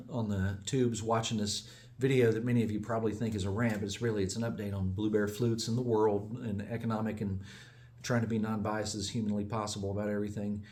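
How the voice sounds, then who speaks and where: A man from the United States, a small business owner, giving a casual, digressive update that often corrects itself and chuckles along the way. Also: on the low side. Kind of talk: casual rambling monologue.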